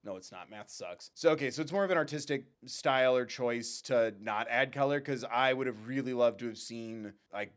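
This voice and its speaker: A man talks as the host of a reaction show, at an ordinary middle pitch. His commentary is casual and excited, full of playful, expressive asides. He often breaks off his own thought to throw in another remark. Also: clean tone.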